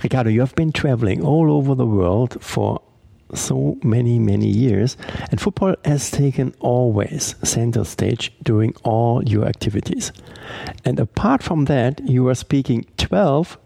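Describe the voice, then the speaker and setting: A man's voice: mid-range voice, expressive, unhurried, creaky, mellow, no echo. A man interviewing a guest, asking polite questions and rephrasing them as he goes.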